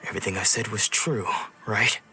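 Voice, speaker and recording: A man's voice: on the low side, vocal fry. Brooding, troubled swordsman. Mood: dry, curt. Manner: brooding, reflective, measured. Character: weary, troubled swordsman who lost his memories. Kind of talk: video game voice acting. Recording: music behind the voice.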